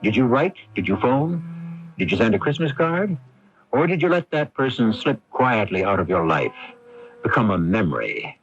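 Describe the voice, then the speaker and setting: A man's voice: mid-range voice, very animated, moderate pace, slightly rough. A man hosting a radio drama, delivering theatrical scripted narration with a rhetorical delivery. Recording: muffled vintage radio broadcast.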